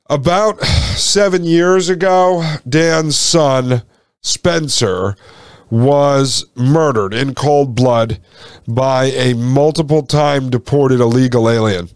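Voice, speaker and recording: A man's voice: mid-range voice, clean tone, dry recording, clean studio sound. A man hosting his podcast, a little stuffed up from a slight cold, chatting directly to his listeners. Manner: chatty, addresses listeners as folks. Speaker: podcast host. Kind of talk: casual podcast monologue.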